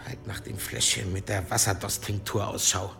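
Stern, exasperated monk herbalist. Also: male voice, fairly low pitch, little pitch movement, normal speed, gravelly, sharp tone. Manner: exasperated, schoolmasterly. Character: stern, impatient monk herbalist scolding his assistant. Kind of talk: German radio play acting.

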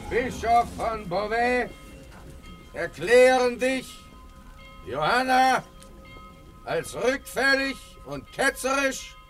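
An actor playing a bishop who slowly pronounces a formal church verdict in a classic radio play. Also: male voice, very high-pitched, fairly bright tone, heavily acted. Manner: slow, solemn, formal decree. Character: pompous male bishop pronouncing judgment, solemn.

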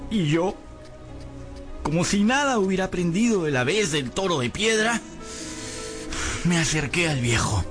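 A man's voice: high-pitched, animated, measured pace, long pauses, fairly bright tone. An actor playing a poor, hungry servant boy who tells his misfortunes in a plaintive, theatrical way for a radio drama. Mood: rueful storytelling. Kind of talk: dramatic first-person radio narration. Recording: music bed behind voice.

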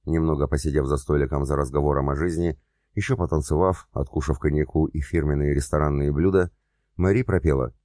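Very deep, dark-voiced male narrator. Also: steady tone, brisk, no room sound, clean studio sound. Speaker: audiobook narrator. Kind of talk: scripted narration.

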